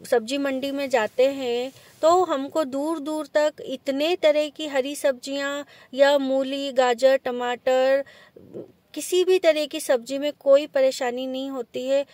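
A woman's voice: high voice, fairly even intonation, slow, darkish tone, dry recording. A woman from India narrating a casual travel vlog in a chatty, easygoing way while filming a village walk. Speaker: vlogger. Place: rural village outdoors, later in a car at night.

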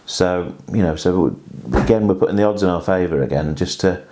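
A man in his thirties with a very low voice talks a learner through a fishing rig, hesitant and chatty, often restarting and asking "isn't it?". He is recorded close on a lapel mic at a small kitchen table indoors. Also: dark tone. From England. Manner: chatty, halting, lots of restarts and tag questions. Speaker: fishing coach. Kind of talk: hands-on demonstration chat.

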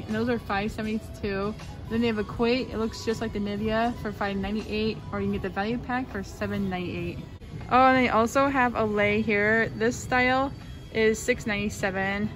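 A young woman talks through a store aisle as she films on her phone, reading out labels and prices in a casual, rambling way. Her voice sits a little high, and faint music plays in the background. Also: low-quality recording. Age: young adult. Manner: chatty, reads out labels and prices, lots of fillers. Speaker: shopping vlogger. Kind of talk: casual shop-with-me narration.

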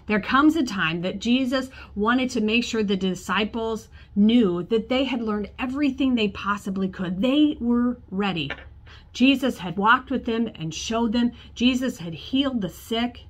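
A woman in her forties, a pastor, preaching a sermon to camera from her office, telling a story in a warm conversational way. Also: a little high, unhurried. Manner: storytelling, acts out quoted voices, gestures with hands. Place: church office with bookshelves, indoors.

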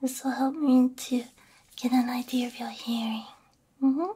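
A woman whispering softly in an ASMR roleplay, gentle and reassuring, speaking close into a binaural microphone. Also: high-pitched, steady tone, unhurried, a little hiss or hum. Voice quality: breathy, mostly whispered. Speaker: ASMR roleplay creator playing a robot repair technician.

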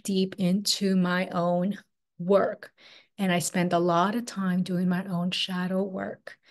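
A woman, teaching a spiritual workshop over a video call in a loose, flowing way with many "like"s. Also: medium pitch. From Peru. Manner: casual, flowing, filler-heavy with frequent "like" and "um". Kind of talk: online workshop presentation. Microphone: webcam on video call.

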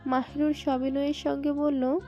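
Female story narrator, high voice, scripted dramatic reading, slightly dull recording, music underneath. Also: little pitch movement, measured pace, warm tone. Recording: muffled band-limited sound, music bed.

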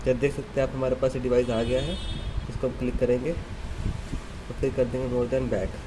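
A man's voice: mid-range voice, level tone, normal speed. A man giving an unscripted step-by-step installation tutorial in a plain, instructional way, recorded indoors on a handheld device. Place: indoors in a room. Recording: clearly noisy amateur handheld recording.

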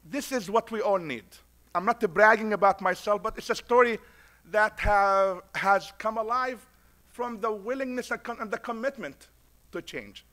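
A man's voice: high voice, moderate pace, pushed and bright. A middle-aged man with a foreign accent, an imam giving a conference speech at a podium, preacher-like and repeating lines for emphasis. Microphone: podium mic.

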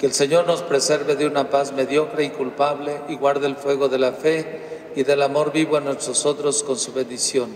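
A middle-aged man, a priest, leading prayers and a blessing in a solemn, slow, measured way, into a handheld mic in a large church. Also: medium pitch, level tone, a little mellow, recorded from a distance, a little hiss or hum. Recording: echoey church with long reverb, from afar.

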